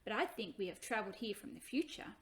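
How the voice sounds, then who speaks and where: A woman's voice: mid-range voice, lively intonation, fast talker, fairly bright tone, close to an everyday voice. A woman voicing a thoughtful young boy in a children's story, earnest and matter-of-fact. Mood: reasoning.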